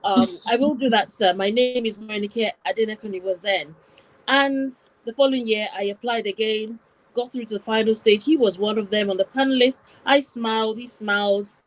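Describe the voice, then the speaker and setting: A woman's voice: on the high side, normal speed, poor audio. Woman from Nigeria telling her story. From born in Wales, raised in Nigeria from about nine. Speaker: interview guest. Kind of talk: personal storytelling in an interview.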